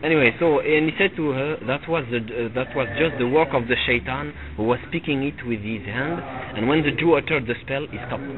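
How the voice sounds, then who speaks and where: A middle-aged man teaching a religious lesson to a live audience, halting and repeating himself and often checking with "you see?". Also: mid-range voice. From Tunisia. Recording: phone-like lo-fi recording with crowd noise. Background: listeners gathered in the room.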